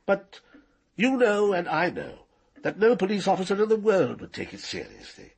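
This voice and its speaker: An actor playing an arrogant, cold-blooded older knight in a radio drama, precise and condescending. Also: male voice, sits high, huge pitch swings, moderate pace, vocal fry, husky, exaggerated voice. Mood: cool, dismissive. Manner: condescending, precise, coolly menacing.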